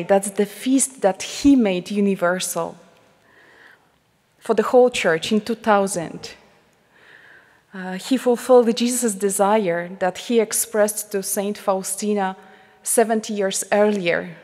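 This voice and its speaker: Slow-speaking Polish-accented nun. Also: female voice, mid-range voice. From Poland. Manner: slow, heartfelt, drops to a hushed near-whisper in prayer. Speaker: religious sister giving a talk. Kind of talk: prayerful talk to a congregation. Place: large church shrine. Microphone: headset mic. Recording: long church reverb.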